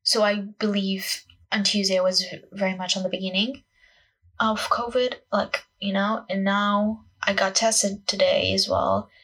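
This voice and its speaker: Woman, about twenty, Portuguese accent, gravelly fry, slightly raspy, mid-pitched, rambling casual podcast talk, self-conscious. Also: level tone, unhurried, fairly bright tone, poor audio. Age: young adult, about 20. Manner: self-conscious, scattered, peppered with "like". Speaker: podcast host, acting student.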